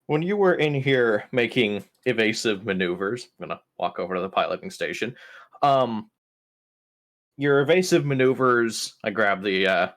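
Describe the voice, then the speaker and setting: A player in a tabletop roleplaying game, improvising casual in-character dialogue in halting, hesitant phrases. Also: medium pitch, a bit bright.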